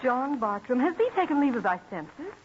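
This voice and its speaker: High-voiced colonial wife, old radio. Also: female voice, sing-song, moderate pace. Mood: doubtful, chiding. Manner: prim, questioning, old-fashioned Quaker speech. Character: practical colonial Quaker wife, doubtful but devoted. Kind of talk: mid-century radio drama acting. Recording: dull old radio recording, faint noise.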